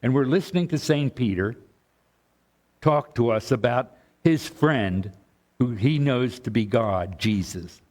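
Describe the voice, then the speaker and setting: A man's voice: medium pitch, a little slow, a little mellow. An older man, a bishop, preaching a conversational sermon, often restarting phrases and checking in with the congregation.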